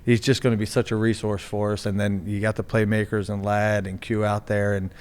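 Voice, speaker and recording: A man's voice: on the low side, little pitch movement, a little fast, clean tone. A man being interviewed as a sports team's general manager, talking casually with many fillers and restarts. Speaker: NFL general manager, guest.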